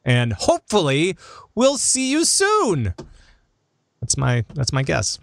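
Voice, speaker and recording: An animated male podcast host with a fairly high voice and a close, dry sound. He chats casually and jokily, his pitch swinging widely as he cuts into his own sentences. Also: slow pace, gravelly, no room sound. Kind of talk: casual gaming podcast chat.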